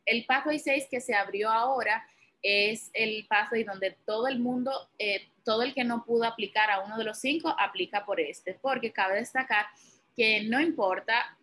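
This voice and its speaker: A young woman from the Dominican Republic with a Dominican Spanish accent, a medical resident answering interview questions in a relaxed, informal way. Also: fairly high pitch, normal speed. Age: young adult. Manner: relaxed, informal, explains step by step with many fillers.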